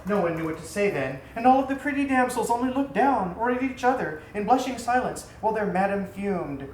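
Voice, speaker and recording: A man reading his own story aloud as a theatrical storyteller, with a dramatic, deliberate delivery. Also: high-pitched, animated. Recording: roomy echo, faint background noise.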